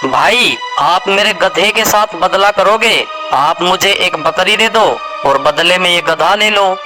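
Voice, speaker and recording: A simple, trusting villager from a moral tale , played by a man. His voice sits high for a man. Clear music runs behind his storybook dialogue. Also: slow pace. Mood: friendly, bargaining.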